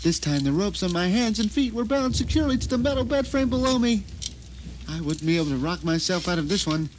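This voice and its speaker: A man acting a high-voiced, bitter captive narrator. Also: expressive, noisy background. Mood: wry, tense. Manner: melodramatic, sardonic, deliberate phrasing. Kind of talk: dramatic first-person audio drama monologue.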